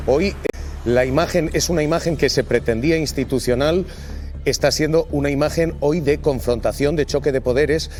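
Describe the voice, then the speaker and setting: A man with a slightly high voice and a Spanish accent presents live news. He is formal and pointed, with faint music playing behind him. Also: normal speed, a little mellow. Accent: Spain. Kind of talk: live news commentary and questioning.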